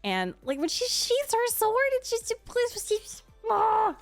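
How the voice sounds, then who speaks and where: A woman's voice: extremely high pitch, huge pitch swings, slow, no room sound, soft background music. A woman speaks as a streamer doing casual fan commentary. Her delivery is gushing and enthusiastic, in a loose chatty style. The sound is dry and close, with very little room in it. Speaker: streamer and video creator.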